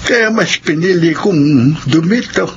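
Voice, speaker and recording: An actor playing a kindly old widowed gravedigger in a Filipino radio drama, gentle, halting and chatty. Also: male voice, on the high side. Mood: gentle, reminiscing. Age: older. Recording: dull radio recording, faint background noise.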